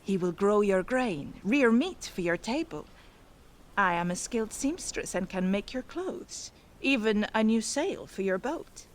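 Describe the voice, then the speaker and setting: A woman playing a shrewd, sharp-tongued farmer's wife in a Viking video game, persuasive and wry in her delivery. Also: mid-range voice, lively intonation, a little slow, natural voice. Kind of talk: dramatic video game acting.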